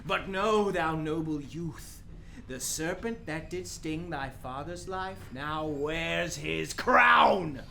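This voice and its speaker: Anguished dead king's ghost, high piercing voice, slow theatrical declamation, Shakespeare radio play, noisy recording. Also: lively intonation, gravelly, sharp tone, heavily acted. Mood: bitter, outraged. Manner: theatrical, drawn-out declamation with outcries. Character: anguished ghost of a murdered king and father.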